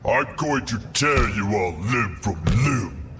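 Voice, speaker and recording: Slow, menacing, low-voiced demon. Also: male voice, animated, noisy background. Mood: savage menace. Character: huge bloodthirsty demon oni, savage and menacing. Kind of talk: audio drama acting.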